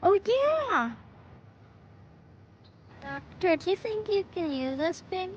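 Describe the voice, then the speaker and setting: A woman's voice: very high voice, sing-song, slow pace, lo-fi sound, a little hiss or hum, exaggerated voice. A woman playing a curious, cheeky six-year-old boy in an audio drama, with blunt, earnest, childlike questioning. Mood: earnest, excited.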